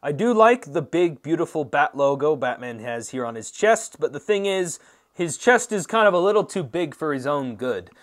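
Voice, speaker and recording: A man's voice: a little high, expressive, normal speed. A man giving a casual, conversational action figure review as the channel host, with emphatic swings between points. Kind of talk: casual product review commentary.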